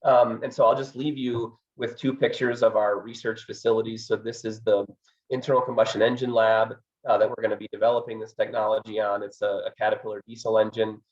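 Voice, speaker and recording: A man giving a research talk as an engineering professor, hoarse from a cold, speaking hesitantly with many fillers. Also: fairly low pitch, level tone, fairly quick, muffled recording. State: hoarse, getting over a cold.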